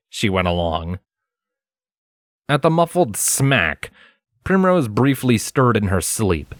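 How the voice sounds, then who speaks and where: A man's voice: lowish voice, dry recording, studio quality. A man narrating fiction as a storyteller, reading from a script in a dramatized audiobook style.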